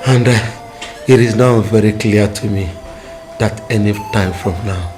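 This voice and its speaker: A low-voiced, slow-spoken father, played by an actor, who sounds weary and resigned. He speaks with grave calm, and loud music plays beneath him. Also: close to an everyday voice. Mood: solemn. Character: older dying father, solemn and resigned.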